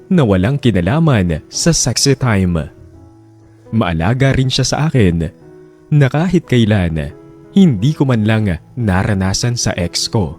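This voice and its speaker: A man narrating a radio drama in a measured, reflective way. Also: mid-range voice, darkish tone.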